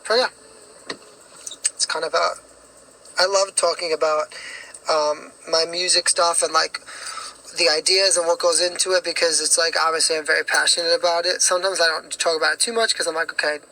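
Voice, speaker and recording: Young male singer, raspy and hoarse, bright high voice, loose, filler-heavy livestream chat. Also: fairly even intonation, brisk, low-quality recording. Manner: rambling, with many fillers and self-corrections.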